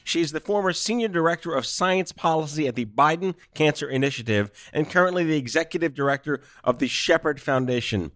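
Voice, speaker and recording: A man's voice: a little high, animated, brisk, few pauses, fairly bright tone. A man hosting a podcast interview, effusive and joking in casual chat. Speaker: podcast host.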